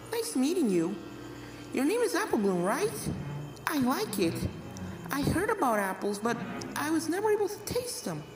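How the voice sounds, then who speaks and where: A high, childlike voice for a newborn ghost filly. She babbles sweetly and playfully, like a cartoon little girl in a storybook reading. Music plays clearly behind her. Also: roomy sound, exaggerated voice, low-quality recording.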